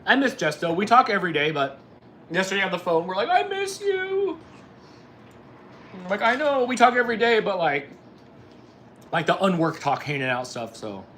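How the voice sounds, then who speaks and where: Male streamer, 40s, bright edgy tone, casual off-the-cuff chat, noticeable background noise. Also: high-pitched, sing-song, normal speed, pauses often. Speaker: livestream host. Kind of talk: casual chat with live viewers.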